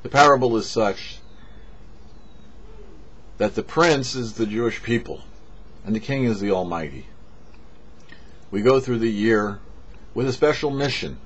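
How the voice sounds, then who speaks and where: Low, husky man giving a sermon. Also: lively intonation, unhurried, long pauses, fairly bright tone. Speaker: rabbi, host of a weekly Torah portion show. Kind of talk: sermon with storytelling, read for a show. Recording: dull, lo-fi audio.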